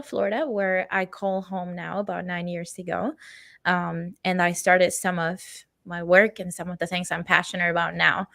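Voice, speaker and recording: Venezuelan-born woman, guest, gravelly voice, dry close sound, open and easy laughter. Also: mid-range voice, fairly bright tone, dry recording. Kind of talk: interview.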